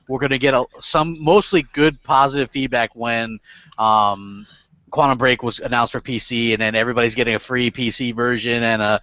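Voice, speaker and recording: A man's voice: medium pitch, a bit bright, dry recording, highs cut off. A man hosting a casual gaming podcast, rambling with lots of fillers and restarts and casual swearing. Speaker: podcast host.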